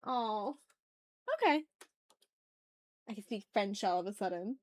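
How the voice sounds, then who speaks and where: A woman's voice: mid-range voice, lively intonation, a little slow, darkish tone, no echo. A woman chatting casually and playfully with her viewers as she hosts a live art stream. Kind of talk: casual livestream chat while drawing.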